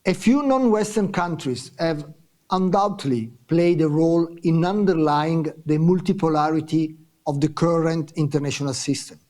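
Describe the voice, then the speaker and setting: A man with a mellow, fairly high voice delivers prepared remarks at a conference panel. His delivery is measured and formal.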